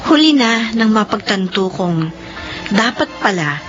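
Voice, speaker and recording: A woman's voice: medium pitch, lively intonation, a little slow, fairly bright tone. An actress in her thirties playing an emotional, tearful daughter and office officer who also narrates, in a radio drama. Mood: reflective. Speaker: lead character and narrator. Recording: dull lo-fi radio recording with music.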